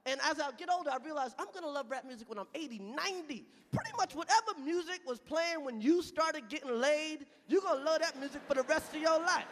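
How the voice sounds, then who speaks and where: High, gravelly man shouting stand-up. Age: 30s. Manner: loud, shouting punchlines, chanting lyrics. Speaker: stand-up comedian. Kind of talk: stand-up comedy set. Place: large venue on stage. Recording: live hall with loud crowd and noise.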